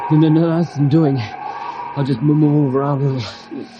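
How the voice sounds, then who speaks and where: An actor playing a dogged, excitable young man stranded in the cold in an old-time radio adventure. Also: a little high, moderate pace, lo-fi sound, lightly acted. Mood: stubborn. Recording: noisy old radio recording.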